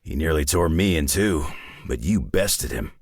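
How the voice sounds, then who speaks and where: A battle-worn older brawler for a video game, with an extremely deep, raspy voice. He is sardonic and terse but loyal, talking like a folksy tough guy. His delivery swings widely in pitch, from low rumble to bark. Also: lively intonation, unhurried, no room sound, clean studio sound, exaggerated voice. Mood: wry, low. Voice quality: deep gravelly rasp. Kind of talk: video game character voice lines.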